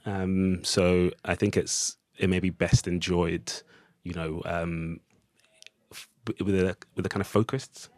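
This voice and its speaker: A man's voice: low voice, flat delivery, a little slow, darkish tone, no room sound. A man speaking as a podcast guest in a casual interview, hesitantly searching for his words.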